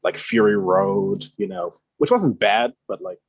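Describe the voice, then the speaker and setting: A man's voice: fairly low pitch, expressive, measured pace, a crowd in the background. A man in his early twenties talking casually about films on a video call. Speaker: film podcast guest or co-host. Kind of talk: casual film chat.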